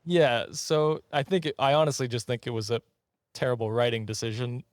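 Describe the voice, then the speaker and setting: A man's voice: medium pitch, steady tone, a little fast, no room sound, studio quality. A man hosting a casual comedy podcast, telling trivia and bantering in a jokey, chuckly way. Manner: jokey, often chuckling as he talks. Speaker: podcast host.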